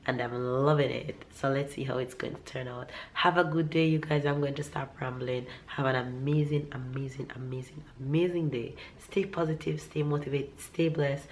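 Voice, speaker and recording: A young woman with a Jamaican accent, slightly hoarse from a cold, chatting casually to her vlog camera at home. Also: a bass voice, a little hiss or hum. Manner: rambling, warm, upbeat, with restarts. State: slightly hoarse, recovering from a cough. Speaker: vlogger. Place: living room at home.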